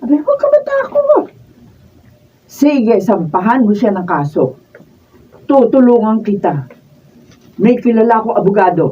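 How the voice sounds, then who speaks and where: An actress playing an indignant middle-aged aunt in a Filipino radio drama, husky and urging. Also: female voice, a little high, sing-song, a little slow, long pauses, dark tone, a little hiss or hum. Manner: urging, emphatic. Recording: muffled, distant mic.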